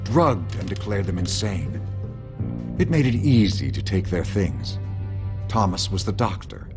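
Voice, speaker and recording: An actor playing a corrupt older mayor in a video game drama, speaking with smooth, pompous condescension. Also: male voice, deep voice, very animated, unhurried. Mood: confiding. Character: smug, corrupt older male mayor and power broker. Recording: music behind the voice.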